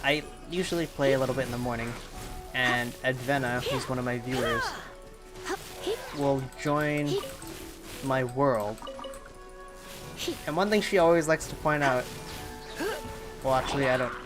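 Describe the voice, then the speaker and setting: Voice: medium pitch, measured pace, long pauses. A game streamer giving casual live commentary, rambling and often breaking off mid-thought while thinking aloud. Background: game music.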